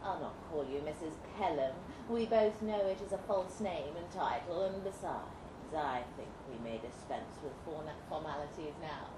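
A woman's voice: low-pitched, animated, moderate pace, hardly any pauses, voice pushed down. A woman voicing a coolly cruel, mocking male villain with formal old-fashioned diction in a melodramatic story reading. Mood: coolly menacing. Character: suave, menacing Victorian villain lord, coolly cruel male captor. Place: indoor live literary event. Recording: roomy, noisy live venue recording.

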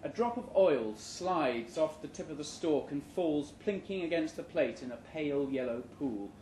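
A man reading a short story aloud at a live event with a deadpan, measured comic delivery. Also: on the high side. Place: indoor room at a live literary event. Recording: roomy live venue recording with background noise.

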